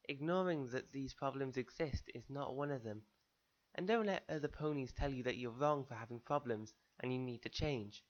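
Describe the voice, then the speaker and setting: A man's voice: a little high, muffled recording. A man reading fan fiction as an earnest first-person dramatic narration, addressing his listeners directly on an amateur recording.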